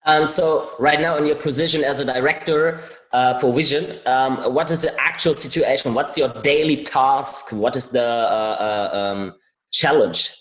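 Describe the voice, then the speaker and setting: A man's voice: mid-range voice, steady tone, measured pace, fairly bright tone, roomy sound, poor audio. A man with a German accent, a non-native English speaker hosting an interview, hesitant with frequent restarts. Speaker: podcast host.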